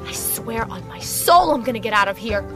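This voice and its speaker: Woman acting a high-voiced, defiant captive detective. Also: expressive, normal speed, close to an everyday voice. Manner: defiant, emotional. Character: defiant captive female detective and mother, desperate, foul-mouthed. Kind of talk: amateur radio drama acting. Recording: loud music behind the voice.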